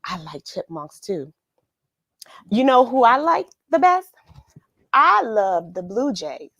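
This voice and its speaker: A woman with a fairly high voice hosts a read-along show for children. She chats casually and expressively to her young viewers, often musing aloud. The sound is fairly dry and close. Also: big pitch swings, slow, fairly bright tone, no room sound. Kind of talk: casual chat to young viewers after a story reading. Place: at home.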